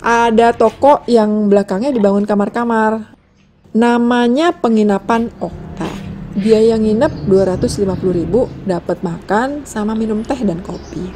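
A woman's voice: a little high, measured pace, background noise. A woman with an Indonesian accent narrating a road trip vlog in a chatty, casual voice-over. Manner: chatty, lists costs, trails off with fillers. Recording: voice-over with loud background music.

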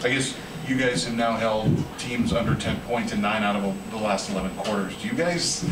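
A man's voice: fairly low pitch, moderate pace. A man asking a sports reporter's press conference questions, rambling with restarts, picked up off-camera from a distance in an echoey room with noise. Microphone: off-camera, picked up from far.

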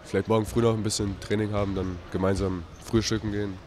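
Young player, low calm voice, short answers, faint noise behind. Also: male voice, quick pace. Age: young adult. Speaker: basketball player. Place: indoor arena. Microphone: handheld interviewer mic.

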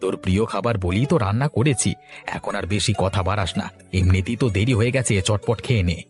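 A man voicing a brisk, doting father who works in an office, in a cartoon story. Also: lowish voice, soft background music, natural voice. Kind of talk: Bengali cartoon story acting.

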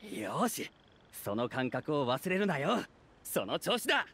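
A gruff, encouraging creature coach. The voice is gravelly and fairly high for a male, in fantasy game style. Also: big pitch swings, a little slow, a bit bright, slight background noise, full character voice. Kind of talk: game voice acting.

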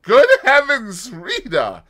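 A frantic Russian conspirator, bitter and jumpy, voice leaping high and loud, as a man reading the tale puts him on. He rants and snarls in a strained, animated way, with a bright edge. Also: takes it slowly, gravelly, heavily acted. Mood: menacing. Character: excitable Russian male conspirator, bitter and agitated.

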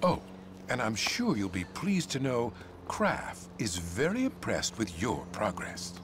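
An actor playing a serene, sly male cult leader in a video game, solemn and unctuous, breathy as phrases end. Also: fairly low pitch, wildly swooping pitch, measured pace, noisy background. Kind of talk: video game cutscene acting.

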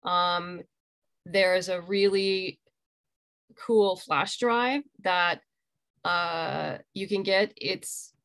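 A middle-aged woman with a slightly low voice talks as a guest in a casual interview. She explains in an informal, conversational way, speaking from home over a video call. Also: fairly even intonation, very slow, long pauses, fairly bright tone. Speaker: interview guest, graphic novel creator. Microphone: video call computer microphone.

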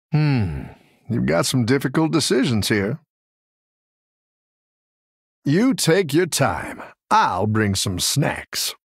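An actor playing a friendly, encouraging tavern keeper who looks after little dragons in a video game, with a warm, folksy manner and a gravelly, husky voice. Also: male voice, mid-range voice, no echo, studio quality, full character voice. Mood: jovial, welcoming. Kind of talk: game character voice lines.

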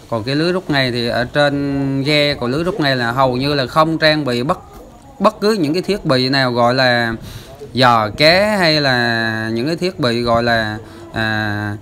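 A man's voice: medium pitch, bright, forceful tone. A man hosting a fishing channel, explaining the footage in a chatty voice-over with lots of filler sounds. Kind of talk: casual voice-over commentary.

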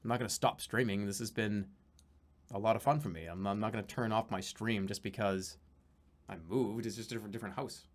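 A man's voice: on the low side, fairly quick. Rambling, swearing man on a home stream. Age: 30s. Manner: rambling, swearing, jumps to excited yelling. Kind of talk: casual live-stream chat reading donations. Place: small room at home, indoors.

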